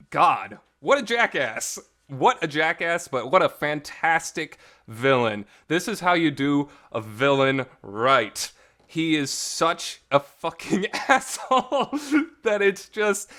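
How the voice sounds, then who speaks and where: A man with a mid-pitched voice talks in a casual, rambling way, like a devoted fan hosting his own review show. He is informal and emphatic, leaning into his enthusiasm. The recording carries some reverb. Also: sharp tone. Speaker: host of a visual novel talk show.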